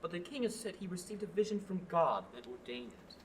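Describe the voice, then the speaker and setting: A teenage actor playing a curt, impatient knight on a quest in a student radio play. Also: female voice, lowish voice, animated, a little fast, a little hiss or hum, close to an everyday voice. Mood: formal. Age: teens. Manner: curt and bossy.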